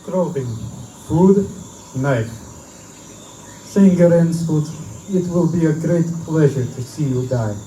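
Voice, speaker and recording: Man playing a slow, mellow, menacing villain. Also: a little high. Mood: sinister relish. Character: slow, menacing villain relishing a coming death. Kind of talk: live theatrical horror drama. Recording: echoey live room recording with noise.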